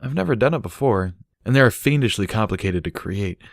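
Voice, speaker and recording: A man's voice: fairly low pitch, lively intonation, a little fast, gravelly, no echo, clean studio sound. A man voicing an earnest, thoughtful male unicorn who explains things, in a storybook reading.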